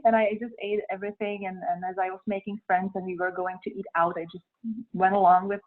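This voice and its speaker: A woman's voice: medium pitch, level tone, fast. A young woman with a Czech accent answering interview questions, hesitant and thoughtful, with many ums and uhs. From Czech Republic. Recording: tinny phone-like sound.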